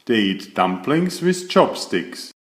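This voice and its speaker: A man reading a children's story aloud in an expressive, deliberate way for young listeners. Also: medium pitch, roomy sound.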